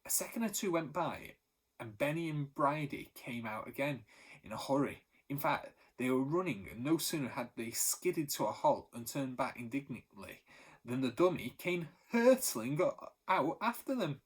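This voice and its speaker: Male teacher, husky voice, mid pitch, story read-aloud. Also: moderate pace. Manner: steady, expressive reading, voice lifting for the characters. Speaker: teacher reading to pupils.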